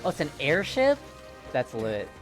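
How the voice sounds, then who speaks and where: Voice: medium pitch, wildly swooping pitch, unhurried. A streamer giving informal, reactive game commentary in short asides. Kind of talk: casual livestream game commentary. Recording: game music behind voice.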